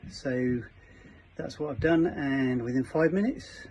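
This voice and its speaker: A man's voice: mid-range voice, takes it slowly, darkish tone. A man presenting an informal hands-on DIY walkthrough, sometimes hesitant and feeling for words as he works. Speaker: presenter of a boat fitting shop's how-to videos. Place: aboard a small cabin motorboat. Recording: dull, lo-fi camera sound with faint noise.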